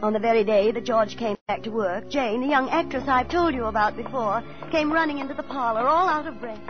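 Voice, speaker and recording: Woman acting prim motherly landlady, middle-aged, fairly high voice, measured dramatic storytelling, muffled 1940s radio drama. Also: lightly acted. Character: Miss Leonora Plim, prim motherly boarding-house landlady telling her story. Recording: muffled 1940s radio broadcast, faint music.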